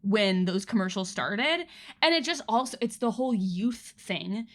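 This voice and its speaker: A woman co-hosting a podcast in casual chat, animated and full of fillers and restarts. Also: medium pitch, unhurried. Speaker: podcast co-host.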